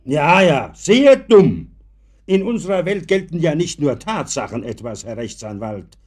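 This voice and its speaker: An actor playing an opinionated old professor in a German radio drama, emphatic, ironic and given to philosophizing. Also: male voice, a little high, sing-song, a little slow, a little mellow. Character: opinionated old professor defending imagination over sober reason.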